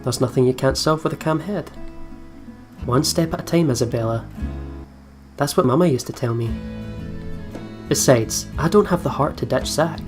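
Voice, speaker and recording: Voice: mid-range voice, moderate pace, long pauses. A let's player reading out visual novel narration and adding casual commentary. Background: soft guitar game music.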